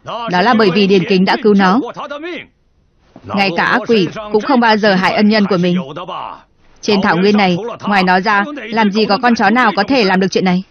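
A woman's voice: mid-range voice, steady tone, brisk, crowd noise, lightly acted. A woman voicing an accusatory, vengeful grieving man in a cartoon film, speaking insistently. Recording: dull lo-fi film dub. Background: film music.

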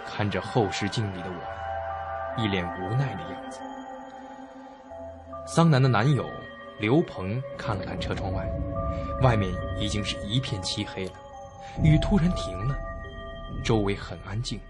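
A man's voice: on the low side, lively intonation, unhurried, long pauses, over loud music. A man playing a young traveller who narrates a suspenseful radio drama. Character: young male traveller recounting an eerie trip. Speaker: narrator and character. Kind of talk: scripted suspense story narration.

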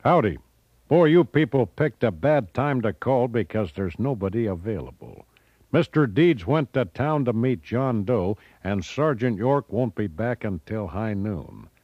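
A man's voice: on the low side, animated, measured pace, no room sound. Man doing a folksy western movie star. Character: folksy, halting old-time western film star. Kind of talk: movie star impression, comedy greeting. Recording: dull, dry tape recording.

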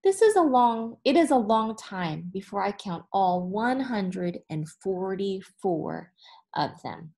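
Female teacher, thirties, medium pitch, very unhurried children's read-aloud with careful, expressive emphasis. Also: gravelly. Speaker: elementary school teacher. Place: small indoor room with whiteboard.

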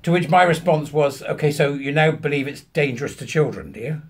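A British man in his fifties telling an anecdote to camera, emphatically acting out both sides of a conversation in a husky voice. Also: on the high side, brisk. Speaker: vlogger. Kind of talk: animated anecdote told to camera. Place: small furnished room at home, indoors.

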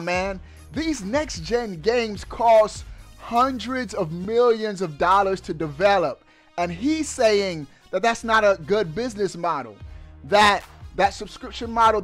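Male gaming YouTuber, high voice, slow emphatic delivery, slangy and excited. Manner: emphatic, animated delivery with drawn-out words, slangy. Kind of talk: excited gaming news commentary. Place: indoors at a streaming setup. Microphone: headset mic.

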